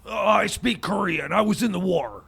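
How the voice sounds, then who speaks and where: A man playing a blustery middle-aged Christmas crusader who rambles, stammers and gets loud in a radio studio. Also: high voice, animated, normal speed, creaky, slightly rough, exaggerated voice. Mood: rambling. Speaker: recurring comic character on a radio show.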